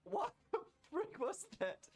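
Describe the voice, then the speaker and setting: A man giving casual, reactive gameplay commentary, excitable, repeating words and talking trash at the game. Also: extremely high pitch, takes it slowly, creaky, faint music behind. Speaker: gaming video creator.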